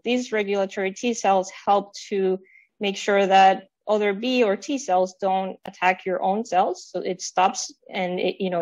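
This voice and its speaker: A woman's voice: medium pitch, muffled recording. A woman, a pediatric rheumatologist whose first language is Spanish, giving a conference talk over a video call with many fillers and restarts. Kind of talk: conference presentation.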